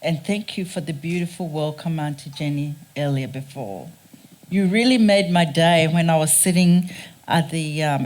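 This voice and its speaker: A woman from Ghana with a Ghanaian accent, giving a prepared public talk in an earnest, deliberate, emphatic manner. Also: low voice, a little mellow. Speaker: guest speaker, race scholar. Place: speaking live at a theatre event.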